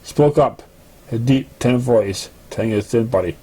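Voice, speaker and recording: A man reading a story aloud in an amateur way, with a halting, stumbling delivery. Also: medium pitch, warm tone, husky, poor audio. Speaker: fan fiction reader. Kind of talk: amateur story reading with put-on character voices.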